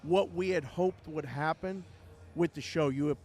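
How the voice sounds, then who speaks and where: A man's voice: on the high side, unhurried, a little mellow. A man co-hosting a live show, talking in an enthusiastic, effusive way with stammered repeats. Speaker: co-host. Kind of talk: casual live show chat. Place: lounge in a casino resort, live show.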